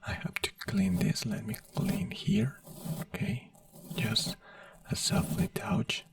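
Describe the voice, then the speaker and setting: An ASMR creator in a doctor roleplay, whispering softly in slow, soothing, simple reassuring phrases. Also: mid-range voice, lively intonation, vocal fry, dry recording, distant mic.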